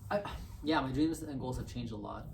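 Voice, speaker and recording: Young guy on a podcast, thinks out loud, stumbles and repeats, sounds a bit away from the mic in a roomy space. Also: mid-range voice, creaky, recorded from a distance. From born in Bangladesh, raised in Canada. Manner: thoughtful, halting, repeats words as he thinks. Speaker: podcast guest. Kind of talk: casual conversational interview. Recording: roomy, off-mic, faint hiss.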